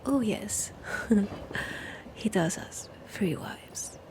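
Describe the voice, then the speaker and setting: A woman voicing a centuries-old vampire woman with a French accent, speaking in a husky, whispery, sick voice in a slow, teasing, languid way for an audio roleplay. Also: mid-range voice, expressive, warm tone. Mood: sly.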